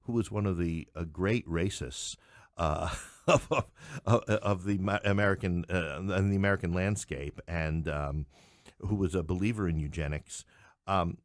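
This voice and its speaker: Middle-aged male podcast guest, lower register, dry recording, explains things in stop-start, rephrased phrases. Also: no echo. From New York City. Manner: halting, explanatory, repeats and rephrases himself. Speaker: podcast guest, playwright and English professor. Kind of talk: conversational political discussion.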